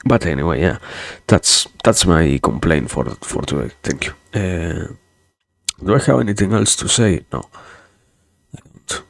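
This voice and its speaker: Deep gravelly man with an accent. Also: expressive, a little slow, long pauses, dry recording. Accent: non-native English accent. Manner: hesitant, rambling, repeats words often; wry sarcasm. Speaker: virtual streamer. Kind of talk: casual livestream chat.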